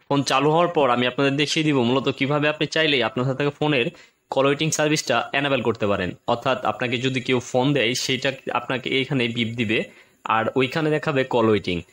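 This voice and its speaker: A man talks very quickly in a mid-range voice as he presents a tutorial. He explains each step in order, often restating the same point in slightly different words. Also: medium pitch, a bit bright. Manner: repetitive explanatory phrasing. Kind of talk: step-by-step phone tutorial narration.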